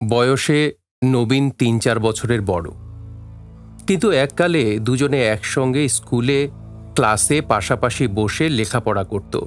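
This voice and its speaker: A man reads scripted story narration for an audio drama. His voice sits at a middle pitch, with faint music playing behind it. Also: takes it slowly.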